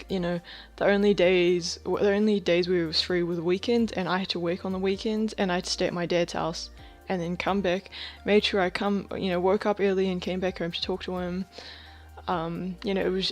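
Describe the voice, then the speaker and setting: A woman in her twenties from Thailand, talking candidly in a personal video diary, rambling with lots of fillers and soft laughs. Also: lowish voice. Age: 20s. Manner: drops to a whisper about housemates. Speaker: vlogger.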